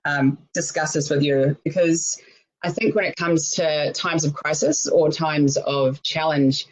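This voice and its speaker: Host with a New Zealand accent, middle pitch, chatty, lo-fi call audio. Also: fairly even intonation, a little slow. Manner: chatty, many fillers like "um" and "look". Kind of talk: casual interview over a live video call.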